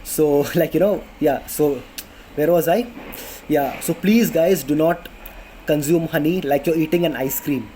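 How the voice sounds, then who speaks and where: A man's voice: on the high side, measured pace, mellow, a little hiss or hum, poor audio. A man in his twenties with an Indian accent, chatting casually about health tips in a vlog, full of fillers and restarts. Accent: Indian English. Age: 20s. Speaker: vlogger. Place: apartment in a city under flight paths. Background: planes passing overhead.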